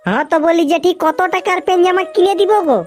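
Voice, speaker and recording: An actor voicing a cocky, grumbling village big brother in a comic cartoon, boastful and exaggerating, with a Purulia Bengali accent and a pitched-up voice. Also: fast, soft background music. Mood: explaining.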